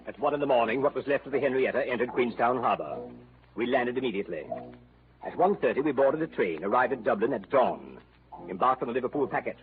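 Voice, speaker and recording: A man playing an English police detective in a radio drama, narrating in measured, log-like phrases. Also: medium pitch, lively intonation, noisy background, natural voice. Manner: measured log-like narration, frantic when pleading. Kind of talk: 1940s radio drama acting. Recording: tinny old radio broadcast with music.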